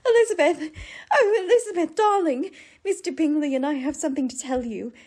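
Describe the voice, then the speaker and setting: A woman plays a sweet young gentlewoman in a period radio drama. Her voice is high and effusive, bubbling with excitable feeling. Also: fairly quick, lightly acted. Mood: overjoyed. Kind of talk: old-time radio drama acting.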